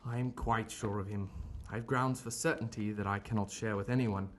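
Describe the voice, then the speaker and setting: A man acting a shaken, remorseful gentleman doctor in an audio drama, speaking formally and earnestly. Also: on the low side, steady tone, a little fast, close to an everyday voice. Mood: troubled, earnest.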